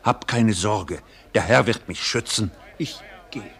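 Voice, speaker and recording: An actor playing an earnest, righteous pastor in a radio play, speaking courteously and formally. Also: male voice, lowish voice, measured pace, a bit bright. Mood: solemn, resolved. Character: earnest, conciliatory male pastor, genteel and righteous. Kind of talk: theatrical German radio play acting.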